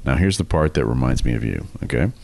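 A middle-aged American man co-hosting a comedy podcast, telling stories casually in a teasing, animated way. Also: extremely low pitch, fast talker, vocal fry, no echo. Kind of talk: casual comic storytelling.

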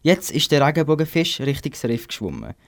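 A man's voice: on the high side, huge pitch swings, fairly quick. A teenage boy with a Swiss German accent, narrating a scripted amateur children's radio play.

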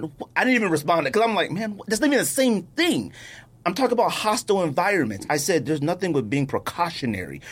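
Animated American man arguing his point. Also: fairly high pitch. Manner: animated, emphatic, repeats himself to make a point; slangy, informal speech. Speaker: podcast co-host. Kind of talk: casual sports debate between friends.

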